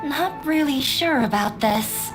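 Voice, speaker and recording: A woman's voice: high-pitched, expressive, slow, music in the background. A woman playing a shy, anxious, self-doubting young woman in a video game, speaking hesitantly. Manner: hesitant, timid.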